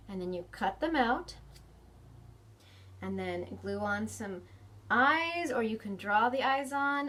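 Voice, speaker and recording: A woman hosting a children's storytime, reading picture books expressively and chatting to young children with questions. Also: on the high side. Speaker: children's librarian storytime host. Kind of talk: animated picture-book reading and chat for preschoolers.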